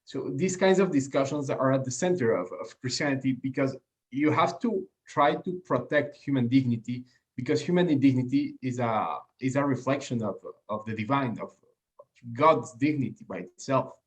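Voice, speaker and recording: A man co-hosting a podcast, explaining ideas reflectively and haltingly, often repeating words as he searches for his phrasing. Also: medium pitch. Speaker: podcast co-host.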